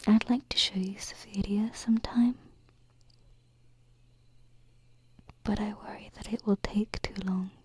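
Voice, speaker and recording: A woman voicing a gentle, playful, wistful alien princess in an ASMR roleplay, whispering breathily and tenderly, close to a binaural microphone. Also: medium pitch, steady tone, a little hiss or hum, lightly acted. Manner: slow, hesitant, tender, softly teasing.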